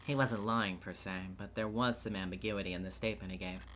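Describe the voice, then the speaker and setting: A woman's voice: a bass voice, steady tone, brisk, slight background noise. A woman voicing a confident, cool and sly defense attorney in a visual novel game. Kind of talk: character acting in a visual novel playthrough. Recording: loud game music behind the voice.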